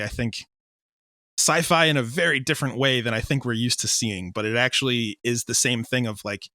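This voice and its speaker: Guy audio drama director chatting informally, mid pitch, bright voice, rambles a bit. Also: no room sound, clean studio sound. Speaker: audio drama creator and director, interview guest. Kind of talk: casual interview chat.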